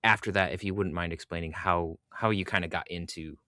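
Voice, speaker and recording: A man's voice: low voice, fairly even intonation, a little fast, bright, forceful tone, dry recording, clean studio sound. A man hosting a podcast, chatting casually as he interviews a guest.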